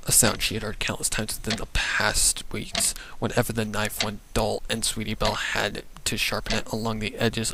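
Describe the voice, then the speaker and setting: A man reading a scripted dark story as narrator, in a dramatic, ominous manner. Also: on the low side, vocal fry, very noisy.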